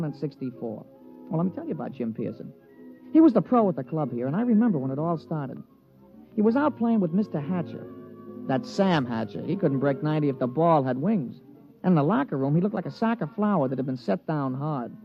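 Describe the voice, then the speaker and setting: An actor playing a chatty, opinionated golf caddy in a vintage radio drama, with folksy, colloquial talk. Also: male voice, fairly high pitch. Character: chatty, opinionated male golf caddy, plain-spoken storyteller. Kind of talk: 1950s radio drama narration and dialogue. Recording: muffled vintage radio recording.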